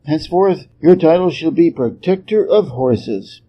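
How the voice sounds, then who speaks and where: A man's voice: fairly high pitch, expressive, measured pace, husky. Guy doing a stern aloof emperor, formal dry delivery, storybook reading, dull sound. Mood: cool, formal. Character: stately, stern, aloof male celestial emperor. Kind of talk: storybook character voice.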